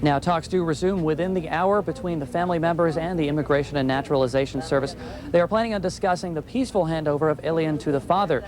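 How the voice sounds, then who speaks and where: A man's voice: a little high, fast talker. A man working as a television field reporter, delivering a live news report from outdoors. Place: outdoors on a city street. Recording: outdoor live shot with crowd noise. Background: crowd and street noise.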